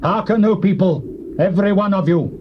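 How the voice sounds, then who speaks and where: An actor playing a solemn, defiant prophet of God, declaiming in measured phrases in a dramatized Bible reading. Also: male voice, high-pitched, lightly acted. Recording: muffled old cassette, faint noise.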